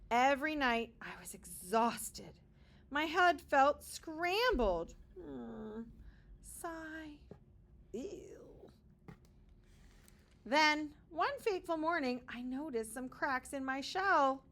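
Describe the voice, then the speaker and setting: A woman with a slightly high voice reads a storybook aloud for small children. She takes her time, speaking very slowly and deliberately. Key words get dramatic emphasis, and she adds short asides to explain the pictures. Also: a bit bright. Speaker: kindergarten teacher reading aloud. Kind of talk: expressive children's picture-book read-aloud.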